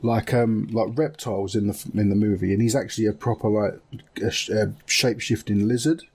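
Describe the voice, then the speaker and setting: A man's voice: lowish voice, fairly even intonation, fairly quick, darkish tone, slightly rough. A man chatting casually and enthusiastically as a podcast co-host, talking in a loose, conversational way. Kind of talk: casual chat about video game films.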